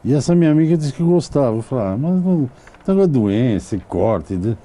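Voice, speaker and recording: A man's voice: medium pitch, sing-song, fast talker, mellow. A man hosting a television interview, asking long, rambling questions that wander through side remarks. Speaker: TV interviewer and host. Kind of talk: casual TV interview.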